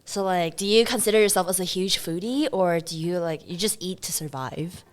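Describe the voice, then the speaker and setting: A woman's voice: on the low side, moderate pace. Young woman from taiwan hosting a chill podcast, says like a lot. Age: 20s. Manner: relaxed, uses "like" a lot. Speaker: podcast host. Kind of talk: casual chat.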